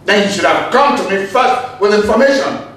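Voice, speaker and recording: Imperious older chief, Nigerian accent, very high male voice, scolding, film drama, heavy echo. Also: lively intonation, moderate pace, fairly bright tone. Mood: agitated reproach. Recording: very echoey, distant, faint noise.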